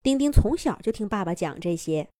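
A woman narrating a children's story in a gentle, expressive storybook manner. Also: mid-range voice, a little fast, no echo, clean studio sound.

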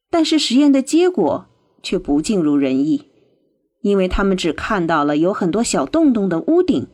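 A woman reading scripted narration for a radio drama in an expressive storytelling manner. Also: mid-range voice.

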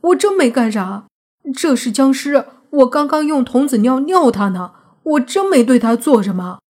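A woman's voice: mid-range voice, expressive, normal speed, mellow, husky. A woman narrator voicing a flustered, indignant young male student who sputters defensively in an audiobook story. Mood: indignant, exasperated.

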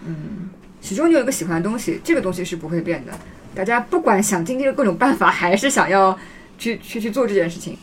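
A woman's voice: medium pitch, lively intonation, fast. A talkative woman hosting a casual group chat, speaking in a reflective way. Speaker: podcast host. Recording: faint background noise.

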